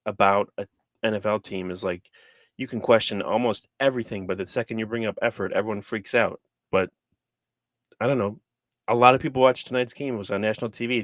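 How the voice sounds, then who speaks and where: Low-voiced man rambling about football. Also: a bit bright, no echo, studio quality. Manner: rambling, hesitant, with frequent restarts. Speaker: podcast co-host. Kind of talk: casual sports podcast talk.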